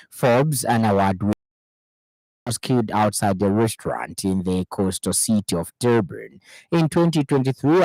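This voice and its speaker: Zambian guy reading the evening radio news, lowish voice, formal, very dry close sound. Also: a little mellow, dry recording, low-quality recording. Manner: formal, measured delivery. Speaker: news reader. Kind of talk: scripted radio news bulletin.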